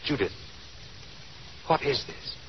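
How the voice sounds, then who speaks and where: A man's voice: slow pace, natural voice. An actor playing a wry, worried, smitten male companion in a 1930s radio drama, urgent and conversational. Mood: startled. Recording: noisy, muffled 1930s radio recording.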